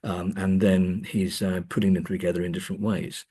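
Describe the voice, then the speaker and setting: Male academic, deep voice, slightly rough husky tone, hesitant phrasing, repeated words, discursive interview talk. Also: flat delivery, fairly quick, dark tone. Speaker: academic and author, interview guest.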